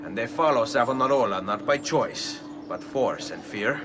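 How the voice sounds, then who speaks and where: An actor playing a bold young Italian assassin, speaking with an Italian accent in a steady, determined way. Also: male voice, medium pitch, normal speed, recorded from a distance, music in the background, lightly acted. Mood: planning. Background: orchestral score.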